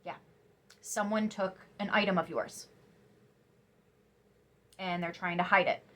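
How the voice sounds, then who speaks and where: A young woman giving a casual tarot reading to camera, chatty and emphatic, sniffly after crying, her voice turning soft when she muses. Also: fairly low pitch, steady tone, unhurried. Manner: chatty, emphatic, drops to soft musing asides. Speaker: tarot reader.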